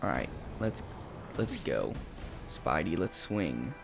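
A man comments on his video game session in a relaxed, chatty way, coaching himself through each move. His voice sits low and sounds rough and gravelly, since he has just woken up. Game music runs steadily behind him. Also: takes it slowly. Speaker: gameplay commentator. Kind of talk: casual let's-play commentary. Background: game music and sound effects.

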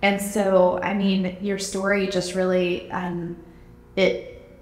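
A woman's voice: fairly low pitch, completely flat delivery, takes it slowly, a little mellow. Upbeat thirties woman host, casual chatty interviewer, echoey hall sound. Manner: chatty, upbeat, with many um and like fillers. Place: large indoor gym. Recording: echoey gym with long reverb.

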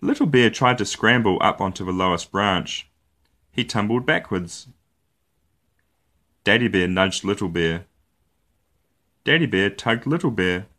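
Man, low voice, animated intonation, children's storybook narration, deliberate pacing, expressive storyteller. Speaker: storyteller, show host. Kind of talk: read-aloud children's picture book narration.

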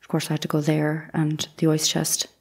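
A deferential young housemaid on the witness stand played by a woman. Her voice is low and fairly flat, with plain, brief answers in an audio courtroom drama. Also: normal speed, gravelly, breathy edge, full character voice.

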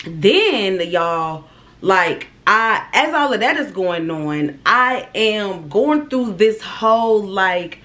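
Young woman vlogger from Ohio, casual and animated, mid-range voice, recorded on camera in a small room. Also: mid-range voice, slow. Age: 20s. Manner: animated, chatty, addresses viewers as "y'all". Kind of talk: casual storytime vlog.

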